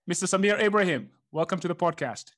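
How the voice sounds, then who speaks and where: A man hosting a podcast interview, enthusiastic and energetic, with frequent restarts. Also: sits high, quick pace, soft background music. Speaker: podcast host.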